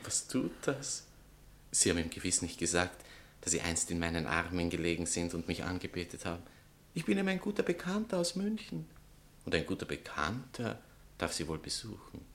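An actor playing a vain, sardonic bohemian writer and spurned lover, pompous and mocking, in a satirical radio play. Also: male voice, low voice, big pitch swings, moderate pace, a bit bright, heavily acted. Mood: mocking, insistent. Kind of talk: theatrical literary satire dialogue.

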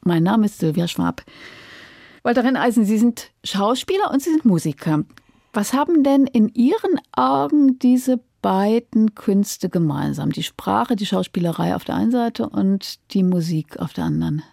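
Female radio host: dark, relaxed tone with some fry, measured delivery, dry studio. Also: medium pitch, no room sound. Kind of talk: composed radio interview.

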